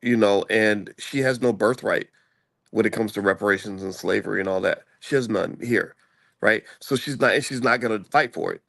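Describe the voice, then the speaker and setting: A man's voice: on the low side, normal speed, vocal fry, fairly bright tone, no room sound, clean studio sound. A man hosting a channel, talking politics in a rambling, conversational way with many restarts. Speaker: channel host. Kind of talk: casual political chat. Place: traveling, in a room before a flight.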